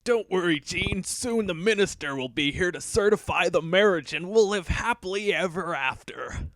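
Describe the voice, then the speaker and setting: Guy doing awkward lovesick cartoon bad guy, kinda high voice, short fuse, dry sound. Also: lively intonation, normal speed, fairly bright tone, dry recording. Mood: smitten. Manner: awkward, stammering when caught out. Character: lovesick, hot-tempered male mutant villain, awkward kidnapper. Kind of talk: abridged cartoon parody dub.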